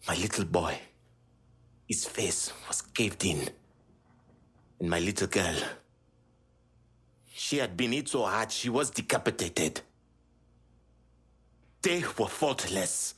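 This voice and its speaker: Embittered Haitian crime boss, middle-aged. Low, husky, grave game-drama delivery with a Haitian accent. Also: male voice, animated, slow pace, long pauses, fairly bright tone, heavily acted. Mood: grieving, bitter. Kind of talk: dramatic video game dialogue.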